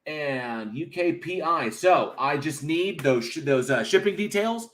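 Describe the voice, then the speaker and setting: A man's voice: on the high side, expressive, unhurried, fairly bright tone. An American man hosting a casual livestream, rambling with many fillers and reading chat aloud from home. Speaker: livestream host.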